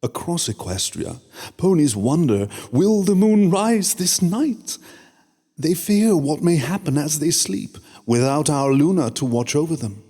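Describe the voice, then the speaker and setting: A man playing a scheming, oily male pony courtier in a fan-made radio drama, speaking in a smooth, fawning and sly way. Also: on the high side, expressive, measured pace, warm tone, heavily acted. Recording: long hall reverb.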